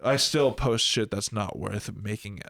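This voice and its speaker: A young man talks in a loose, rambling way, with a filler "like" in nearly every phrase and frequent swearing. His nose is stuffed up, which thickens his voice. He sounds relaxed, as if he is chatting with a friend. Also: lowish voice, a little slow, gravelly, dry recording, studio quality. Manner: rambling, heavy use of "like", swearing. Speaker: podcast guest, rapper. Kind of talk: casual chat.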